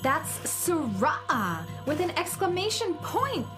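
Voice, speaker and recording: Smug, bratty, animated young villainess. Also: female voice, high voice, slow, over music, exaggerated voice. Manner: haughty, taunting. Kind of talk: children's radio drama acting.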